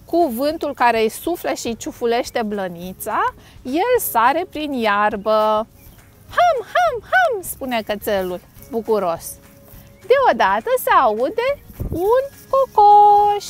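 A woman's voice: sits high, very animated, soft background music. A middle-aged woman hosting a children's storytime, reading a picture book expressively and playfully in simple phrases. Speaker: storytime host. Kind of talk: animated children's picture-book read-aloud. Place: outdoors on a grassy lakeside bank.

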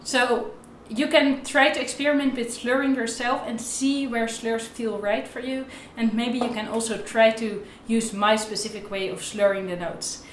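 A woman's voice: fairly high pitch, fairly even intonation, normal speed, few pauses, far from the mic, a little hiss or hum. A young woman giving an instructional tutorial to camera as a music teacher, recorded indoors in a bare room. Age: young adult. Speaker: violin teacher. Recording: roomy, echoey indoor sound.

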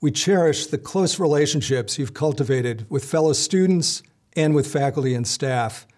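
A middle-aged man gives a measured, solemn commencement address from a lectern on an indoor stage. His voice is a little high but mellow and dark in tone. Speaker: university leader giving commencement address. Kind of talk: formal scripted speech. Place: indoor stage with curtain backdrop.

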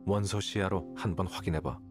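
An actor playing an aloof, calculating young scholar in a video game, speaking in a detached, measured, condescending way. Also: male voice, low-pitched, steady tone, natural voice. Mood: composed, instructive. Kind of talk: video game character dialogue. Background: game music.

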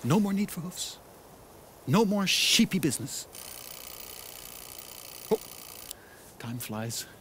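An actor playing an eccentric salesman in an animated film, with persuasive, theatrical patter. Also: high voice, slow, long pauses, heavily acted. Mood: brisk, dismissive. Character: eccentric, smooth-talking male salesman of new lives. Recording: faint outdoor ambient noise behind.

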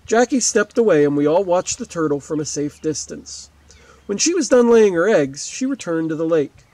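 High-pitched male teacher reading aloud. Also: moderate pace, dry recording. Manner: expressive, explanatory reading aloud. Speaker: fourth-grade teacher. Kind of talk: read-aloud lesson for children, with asides. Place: outdoors beside a wetland lake.

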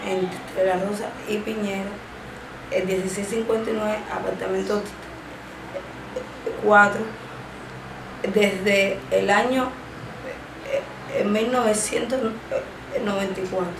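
A young woman in her twenties with a Cuban accent, speaking haltingly with a stammer and many drawn-out fillers while giving personal testimony in an interview. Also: medium pitch, fairly even intonation, gravelly. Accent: Cuban Spanish. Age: 20s. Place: small indoor room, seated. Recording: echoey room with background noise.

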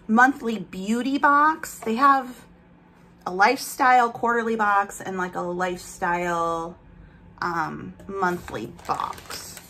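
A woman's voice: medium pitch, a bit bright, slight background noise. A woman in her thirties chatting casually in a home vlog, rambling while she unboxes products, with a slight lisp from aligners. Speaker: vlogger. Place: bedroom at home, indoors.

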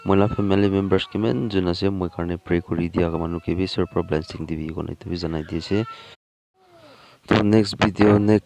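A man with a Northeast Indian accent giving a casual vlog update, speaking in halting, repetitive phrases. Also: low voice, darkish tone, slight background noise. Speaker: vlogger.

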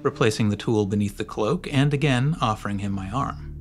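A man narrating a gothic story as an audiobook, in a measured, theatrical manner. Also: on the low side, a little hiss or hum. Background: faint music and sound design.

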